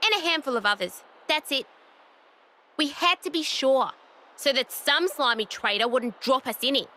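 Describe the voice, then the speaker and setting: A woman's voice: high-pitched, lively intonation, normal speed, pushed and bright, slight background noise, poor audio. A woman playing a brash, foul-mouthed, loyal young female fighter in a video game cutscene, with a cocky, blunt, slangy manner. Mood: cocky, teasing.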